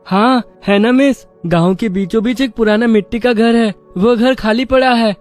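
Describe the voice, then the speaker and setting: Man as a poor village schoolboy, earnest, respectful, high voice, storybook cartoon, music behind. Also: normal speed. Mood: respectful, eager. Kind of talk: children's moral story voice acting.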